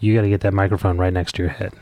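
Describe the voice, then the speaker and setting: A man chatting casually as a podcast-hosting father, teasing in a dry, deadpan way. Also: deep voice, very fast, slight background noise. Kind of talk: casual, teasing chat with child.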